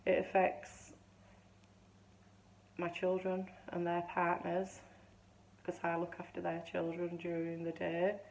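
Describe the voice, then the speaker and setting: Low gravelly woman in her fifties, slightly hoarse. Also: monotone, slow pace, pauses often, a little mellow, slight background noise, rough recording. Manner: rambling, chatty storytelling with frequent restarts. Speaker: vlogger. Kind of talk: casual chatty vlog.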